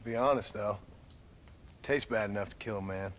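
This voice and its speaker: An actor playing a gruff, dry-spoken reformed outlaw rancher and father with an American Western accent in a video game. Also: lowish voice, moderate pace, a bit bright, a little hiss or hum, natural voice. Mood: dry, warm. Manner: dry, terse. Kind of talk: video game cutscene acting.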